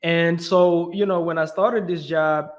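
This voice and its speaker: A young man from Belgium, a guest in a casual interview, telling his story in an animated way with lots of "you know" and "right". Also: on the high side, measured pace.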